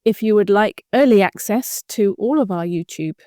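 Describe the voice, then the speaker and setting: A woman's voice: mid-range voice, normal speed, warm tone, dry recording, studio quality. A woman hosting a podcast interview in a chatty, jokey manner. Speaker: podcast host.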